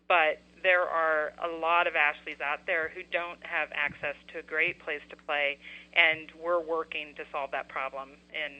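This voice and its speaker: A woman guest author on a radio interview, explaining her nonprofit's work in an earnest, steady way. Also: low voice. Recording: dull lo-fi radio audio.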